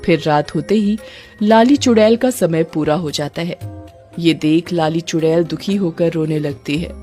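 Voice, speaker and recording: A woman's voice: deep voice, unhurried, mellow. Woman narrator, scripted storytelling, music underneath.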